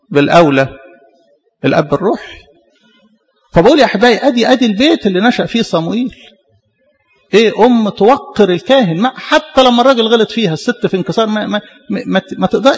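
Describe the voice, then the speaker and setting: A man preaches in an Egyptian Arabic accent, his voice pitched high and carried by long hall reverb. He moves quickly, repeating key phrases and posing rhetorical questions. The delivery is emphatic and instructive, mixing colloquial storytelling with scripture. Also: lively intonation, highs cut off. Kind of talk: sermon retelling Bible stories in colloquial speech with scripture quotes.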